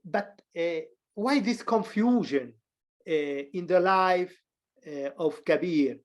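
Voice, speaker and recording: A man's voice: high voice, takes it slowly. A man hosting an interview, asking short questions and murmuring assent while the guest talks. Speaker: interview host.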